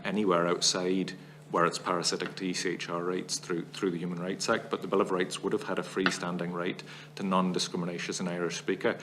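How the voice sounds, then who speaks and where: A man giving formal testimony as a witness before a parliamentary committee, laying out long arguments in a measured, analytical way. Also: low-pitched, fairly bright tone, slight background noise.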